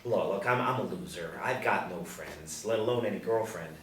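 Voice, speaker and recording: A man's voice: low voice, normal speed, a bit bright. A man, apparently middle-aged, playing an awkward, apologetic nerdy man at a script reading, hesitant and stammering. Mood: sheepish. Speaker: actor at a screenplay table reading. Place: indoor room, seated. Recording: echoey room, distant mic, faint noise.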